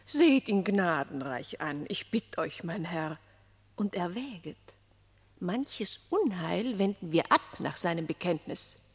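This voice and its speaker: A woman's voice: lowish voice, sing-song, takes it slowly, slightly rough, exaggerated voice. An actress playing a solemn, compassionate animal queen in a classic verse radio drama, speaking in formal declamation. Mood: pitying, imploring. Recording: long hall reverb.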